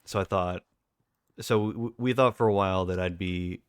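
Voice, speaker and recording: A young man with a deep voice, talking casually and rambling with lots of fillers and restarts as a streamer. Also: steady tone, normal speed, clean tone, dry recording, studio quality. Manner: rambling, self-correcting, many fillers.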